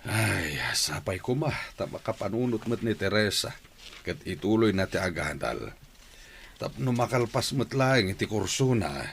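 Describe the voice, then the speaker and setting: A man playing a fond but worried middle-aged father and uncle in a radio drama, with a gravelly, husky voice. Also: on the low side, expressive, normal speed, a little hiss or hum. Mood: worried, resigned.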